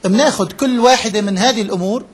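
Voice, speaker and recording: A man's voice: sits high, fast talker, slight background noise. A man leading a workshop, explaining step by step, checking in with his listeners and mixing English terms into his talk. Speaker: workshop trainer. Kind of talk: interactive lecture to a live group.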